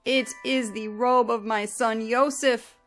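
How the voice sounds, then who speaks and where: A woman's voice: on the high side, steady tone, slow, fairly bright tone, faint music behind. A woman reading the part of an old father mourning his lost son, in a wailing, lamenting way. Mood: anguished. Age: older. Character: grieving old father, man, heartbroken.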